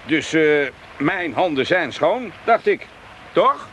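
A shifty swindler with a high, sharp voice. He is slippery and cowardly, bluffing his way along with very lively pitch, as in comic radio drama. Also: takes it slowly, slight background noise, exaggerated voice. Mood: slippery, evasive. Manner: evasive, slippery, hesitant bluffing.

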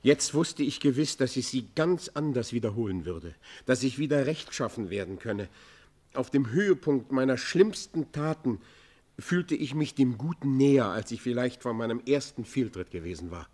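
An actor playing a repentant robber chieftain who confesses in a solemn, declamatory and literary manner in a classic German radio play. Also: male voice, mid-range voice, expressive, normal speed, a little mellow, close to an everyday voice. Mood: anguished longing.

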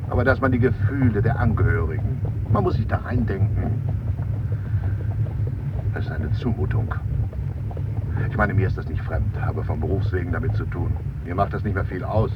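An actor playing an earnest, moralizing male mourner in a radio drama, speaking insistently and conversationally. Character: earnest, moralizing male mourner, world-weary but proper. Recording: dull radio drama recording, vehicle rumble.

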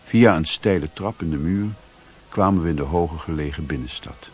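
An actor playing a weary, sombre adventurer, narrating his journey in measured, solemn literary style for a radio drama. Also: extremely low pitch, a little mellow, slightly rough. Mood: sombre, brooding.

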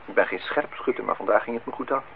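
An actor playing a polite, lonely host in a radio play, formal and slightly insistent. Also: male voice, fairly low pitch, quick pace, gravelly, slightly rough. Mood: polite, probing. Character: polite, lonely man hosting a young woman at his lakeside house. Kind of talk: Dutch radio play acting. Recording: noisy, tinny old radio recording.

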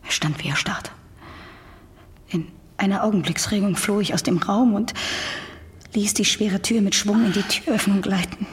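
An actress playing a traumatised, guilt-ridden wife in a radio drama, speaking haltingly and often sinking into a breathy near-whisper. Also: female voice, mid-range voice, fairly even intonation, close to an everyday voice. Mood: tense, haunted. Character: traumatised, guilt-ridden wife confessing to killing her husband. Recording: faint background noise.

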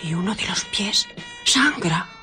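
A woman's voice: medium pitch, animated, unhurried, bright, forceful tone, soft background music. A woman playing a curious, observant child in a radio play, speaking in an eager, insistent way. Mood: excited curiosity. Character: curious, observant street child spotting mysterious footprints. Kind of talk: radio drama acting.

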